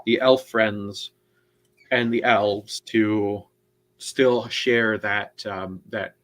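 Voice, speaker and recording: A man with a fairly low voice joins a casual book discussion as co-host. He speaks very slowly and deliberately, pausing to search for his words. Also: fairly even intonation, fairly bright tone.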